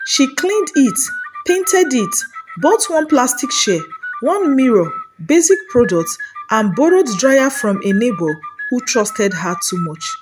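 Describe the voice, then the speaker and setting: A woman with a Nigerian accent telling a humorous, dramatic scripted story in an expressive, playful way. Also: fairly high pitch. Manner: expressive, playful, with Pidgin phrases.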